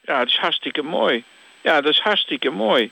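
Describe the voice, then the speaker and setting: A man playing a pleased, rambling university rector, speaking haltingly and repeating himself in a mock news soundbite. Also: on the high side, lively intonation, vocal fry, bright, forceful tone, no room sound, heavily acted, low-quality recording. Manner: halting, repetitive.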